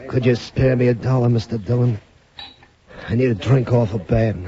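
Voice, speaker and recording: An actor playing a pleading, nervous town drunk in a radio western, whining and stammering. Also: male voice, medium pitch, fairly even intonation, moderate pace, close to an everyday voice. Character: jittery, pleading town drunk cadging drinks. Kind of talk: 1950s radio western acting. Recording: dull old radio, crowd behind.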